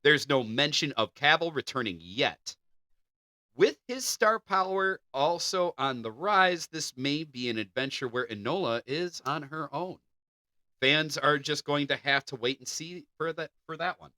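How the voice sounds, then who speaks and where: A man's voice: fairly high pitch, expressive, a little slow, bright, forceful tone, dry recording. A man co-hosting a podcast, moving between reading entertainment news and casual banter. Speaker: podcast co-host.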